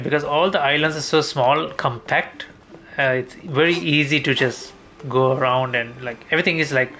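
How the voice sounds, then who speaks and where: A man's voice: medium pitch, bright, forceful tone. A man giving casual gameplay commentary as a streamer, thinking aloud in a rambling, mildly sweary way. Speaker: game streamer.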